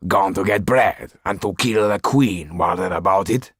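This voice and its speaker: A man voicing a rough, mean male groom with a grumbling, earthy manner. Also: sits low, normal speed, no room sound, clean studio sound.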